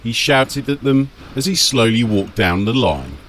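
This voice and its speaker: A man narrating a scripted fantasy audiobook dramatically, with measured, emphatic phrasing. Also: mid-range voice, big pitch swings, fairly bright tone, a little hiss or hum.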